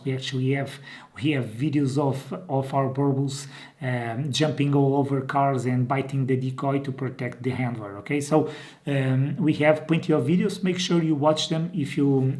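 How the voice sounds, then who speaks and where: A man's voice: on the high side, a little mellow. Portuguese-accented man explaining to camera. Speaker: dog breeder and channel host. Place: indoor room.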